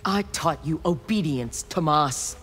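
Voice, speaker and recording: A woman's voice: sits low, animated, unhurried, soft background music. An actress voicing a cold, haughty armored female ninja cyborg in video game banter. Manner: haughty, menacing.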